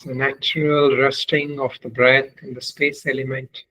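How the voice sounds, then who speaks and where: A man leading a guided meditation as a teacher, speaking in measured, deliberate phrases with long pauses between them. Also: medium pitch, flat delivery, pushed and bright, rough recording.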